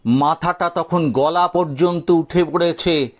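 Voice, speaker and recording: A man narrating a scripted audio story dramatically, with theatrical, suspenseful pauses. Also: on the high side, steady tone.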